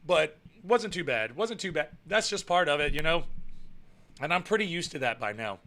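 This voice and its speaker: A man's voice: on the high side, lively intonation, normal speed, pushed and bright. A young man hosting a casual live stream, reading out viewer comments and rambling about wrestling, repeating himself and chuckling often. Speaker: live show host and pro wrestler.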